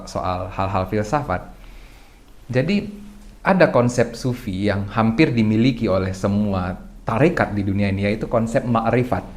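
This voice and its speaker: A young adult man, a podcast guest, talks through ideas in a loose, reflective way, asking himself rhetorical questions and often clearing his throat. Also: lowish voice. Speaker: guest speaker. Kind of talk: casual podcast discussion.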